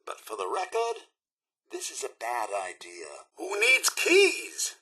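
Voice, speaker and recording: An actor playing a prim, polite robot butler in a video game, with a British accent and theatrical, clipped manners. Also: male voice, medium pitch, huge pitch swings, slow pace, sharp tone, slightly rough, heavily acted. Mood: polite concern. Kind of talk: video game character voice lines.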